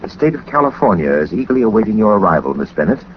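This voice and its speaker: An actor playing a suave, confident gentleman detective in a 1940s radio drama, speaking with dry, formal wit. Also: fairly low pitch, big pitch swings, rapid-fire. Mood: dry triumph. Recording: muffled, noisy 1940s radio recording.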